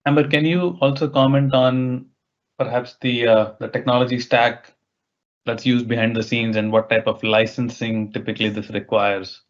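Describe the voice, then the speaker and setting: A man's voice: medium pitch, level tone, normal speed. A man moderating a webinar question session over an online call, putting questions politely and tentatively. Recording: dull, lo-fi online call audio.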